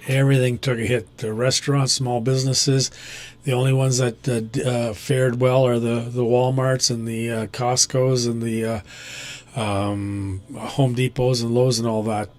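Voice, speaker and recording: A man talking casually and bluntly over amateur radio, with a halting, opinionated delivery. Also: mid-range voice, a little mellow.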